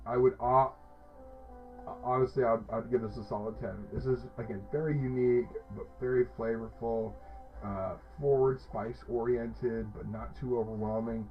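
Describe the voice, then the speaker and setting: A man of middle pitch gives an upbeat, rambling hot sauce review, piling up fillers and restarts. The sound is dull and lo-fi, with faint music behind him. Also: fairly even intonation, normal speed. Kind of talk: casual product review.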